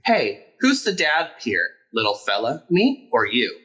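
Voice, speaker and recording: Man playing an easygoing dad, bright voice. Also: mid-range voice, wildly swooping pitch, measured pace, husky, exaggerated voice. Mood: playful. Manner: playful, reassuring. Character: easygoing, affectionate dad, playful and reassuring.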